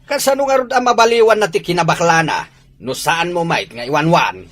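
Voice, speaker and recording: Scornful gruff father, Ilocano accent, very animated intonation, scolding, radio drama. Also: on the high side, a little fast. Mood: angry, scornful. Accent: Filipino, Ilocano.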